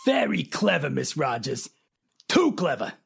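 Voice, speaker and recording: A man's voice: fairly high pitch, big pitch swings, unhurried, gravelly, slightly rough, heavily acted. A man playing a defensive, menacing private detective in an old-time radio crime drama.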